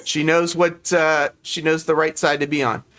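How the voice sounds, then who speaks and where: A man's voice: fairly high pitch, level tone, normal speed, fairly bright tone. A middle-aged man hosting a hobby livestream, chatting casually and teasing as he works at his bench. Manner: teasing, joking. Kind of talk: casual chat while building model kits. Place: at a hobby workbench on a group video call.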